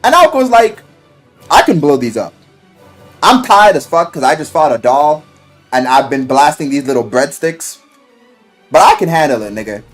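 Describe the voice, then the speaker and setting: A man giving a casual, enthusiastic recap of a story, animated and slangy, swearing freely and acting out the quotes. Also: on the high side, moderate pace, pauses often. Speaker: video game and visual novel reviewer. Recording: music playing behind the voice. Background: music.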